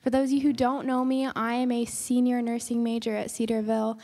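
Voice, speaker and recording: A woman's voice: a little high, level tone, fairly quick, darkish tone. A young woman in her twenties, a nursing student, speaking earnestly and steadily to a church congregation. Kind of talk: personal testimony. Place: church sanctuary. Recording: long church hall reverb.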